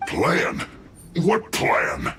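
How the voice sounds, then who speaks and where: An evasive captured soldier; very slow, wide pitch; roomy game audio. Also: male voice, huge pitch swings, bright, forceful tone, slight background noise, heavily acted. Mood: defensive. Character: cornered male soldier, defensive and evasive. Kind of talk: video game voice acting. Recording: echoey game audio with faint music.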